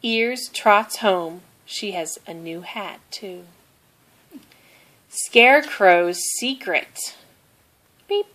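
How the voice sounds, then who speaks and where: A woman reading a children's picture book aloud in a deliberate, simple storytelling manner, with expressive delivery of the characters' lines. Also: mid-range voice. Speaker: storybook reader.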